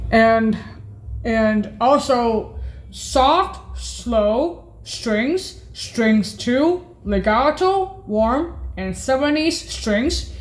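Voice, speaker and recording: A woman with a fairly high voice presents keyboard sounds extremely slowly. She is hesitant and deliberate, naming items one at a time in an informal, halting demo style. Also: poor audio.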